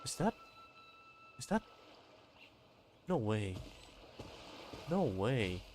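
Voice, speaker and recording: Male streamer, mid-range voice, loose bantering commentary over quiet game music. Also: mid-range voice, sing-song, very slow. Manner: bantering, swears freely. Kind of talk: casual live-stream commentary.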